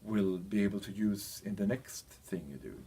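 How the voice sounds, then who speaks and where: A man with a deep, somewhat rough-edged voice presents training material. He talks in an explanatory way, halting often to find the right word. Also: mellow. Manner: hesitant, halting, searching for words. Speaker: presenter of a language training package. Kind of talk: explanatory presentation, loosely scripted.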